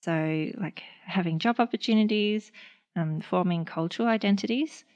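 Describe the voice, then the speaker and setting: A woman's voice: fairly low pitch, moderate pace, lo-fi sound. A young woman with an Australian accent, a speech pathologist chatting casually on a podcast with many fillers. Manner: relaxed, lots of fillers and "like".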